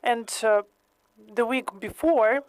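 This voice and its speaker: A woman hosting and interpreting into English, speaking carefully with many pauses and fillers. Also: on the high side, no room sound, poor audio. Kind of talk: slow consecutive interpreting in English.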